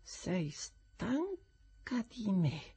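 A man voicing a bitter, furious old woman whose cries come out shrill and wailing in an audiobook reading. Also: big pitch swings, dark tone, breathy edge, full character voice. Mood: accusing. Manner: drawn-out, theatrical outbursts. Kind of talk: audiobook reading of a classic novel.

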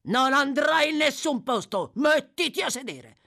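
A woman's voice: fairly low pitch, big pitch swings, normal speed. A woman voicing a brutal, short-tempered male thief in an audiobook, with a brusque, bullying, sneering manner. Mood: furious, shouting.

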